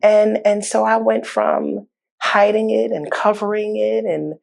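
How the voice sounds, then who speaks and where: A woman's voice: mid-range voice, steady tone, unhurried, gravelly, darkish tone. A woman mayor talking openly as a guest in an interview, earnest and often repeating words as she goes. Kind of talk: candid interview talk.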